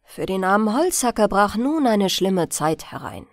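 A woman reading a fairy tale as a bedtime audiobook, in an expressive storytelling manner. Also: medium pitch, normal speed, dry recording, studio quality. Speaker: audiobook reader.

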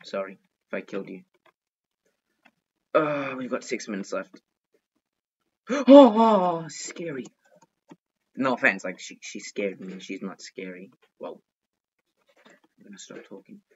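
A male gaming host with a mid-pitched voice narrates his play in an excitable, chatty way. He talks to himself and repeats short phrases over and over. The microphone sounds dull and lo-fi. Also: unhurried, long pauses, husky. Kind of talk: excitable gameplay commentary.

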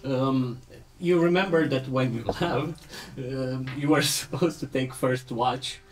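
A man narrating as game master, with many ums and pauses. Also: medium pitch, distant mic, rough recording. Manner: hesitant, filler-heavy narration. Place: separate room, indoors.

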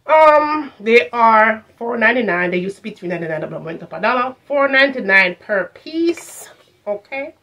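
A woman's voice: fairly high pitch, lively intonation, moderate pace, a bit bright, highs cut off, slight background noise. A middle-aged woman with a Jamaican accent reviewing products in a casual vlog, slipping into patois and repeating phrases for emphasis. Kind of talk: casual chat to camera, shopping haul review. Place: furnished room at home, indoors.

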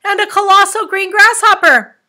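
A woman voicing an excitable, alarmed male ship's captain in a children's storybook, with loud, exclamatory cries. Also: very high-pitched, moderate pace, voice pushed up, heavily acted. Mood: astonished, frantic. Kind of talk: children's storybook read-aloud.

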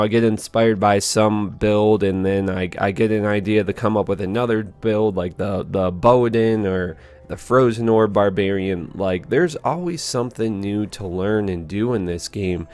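A man in his twenties with a hoarse voice, speaking casually and earnestly to viewers as a gaming channel host. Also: fairly low pitch, darkish tone. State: hoarse, voice strained after a concert. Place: small indoor room at home.

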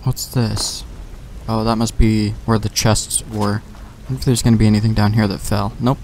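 A man's voice: fairly low pitch, little pitch movement, moderate pace, a little mellow, a little hiss or hum. A young man giving offhand, slangy commentary as he plays a video game, talking to himself. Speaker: gamer, let's play host.

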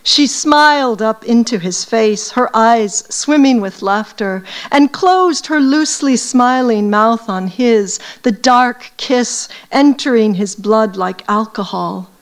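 A woman from the United States, an academic, reading aloud from a novel to an audience in a measured, deliberate way. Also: fairly high pitch.

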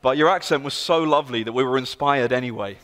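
A man's voice: medium pitch, fast. British man preaching in a reverberant church. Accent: British, London. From England. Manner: earnest, storytelling, lively emphasis. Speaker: guest speaker. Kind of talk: sermon. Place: large church sanctuary. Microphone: handheld and stage mic. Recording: long hall reverb.

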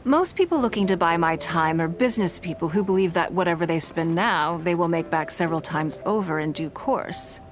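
A woman voicing a poised, shrewd businesswoman in a game, speaking in a composed, measured, elegant way. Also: fairly low pitch, soft background music, natural voice. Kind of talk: game dialogue.

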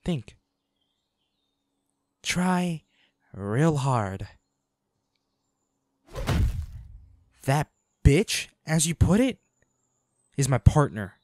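A man's voice: on the high side, big pitch swings, extremely slow, pauses often, darkish tone, dry recording, heavily acted. A man acting a brooding, protective monster hunter, close and dry. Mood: cold menace. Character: devoted boyfriend, weary reluctant monster hunter, protective and menacing. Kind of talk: dramatic audio roleplay.

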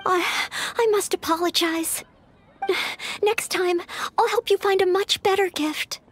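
Earnest, very high-voiced young maid knight. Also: female voice, fairly even intonation, a little slow. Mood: dejected, resolute. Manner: polite, hesitant, apologetic. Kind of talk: anime-style video game dialogue.

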